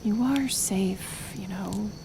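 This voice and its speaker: A woman playing a kind, stubborn, lonely half-elf healer in an intimate ASMR roleplay, speaking softly and hesitantly with soothing pauses. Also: medium pitch, gravelly, background noise. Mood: earnest, tender.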